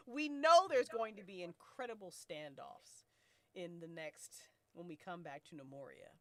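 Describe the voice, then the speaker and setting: A woman's voice: fairly low pitch, sing-song, measured pace, sharp tone. A woman hosting a casual group chat about a podcast, talking in a slangy way and swearing freely. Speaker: podcast host and co-creator.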